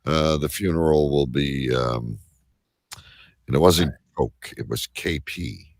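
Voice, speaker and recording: A man hosting a casual live show, talking in a rambling, halting way. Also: very deep voice, dry recording, studio quality. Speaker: show host.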